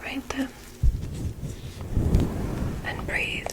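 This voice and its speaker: A woman voicing a gentle, curious alien doctor in an ASMR medical roleplay, whispering soothing, softly formal instructions. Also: very slow. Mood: soothing, clinical. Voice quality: breathy whisper.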